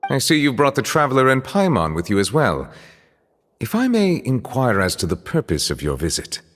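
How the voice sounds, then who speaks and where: An actor playing a composed, formal male chief justice in a video game, speaking in a measured, courteous way. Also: mid-range voice, wildly swooping pitch, long reverb tail, slight background noise.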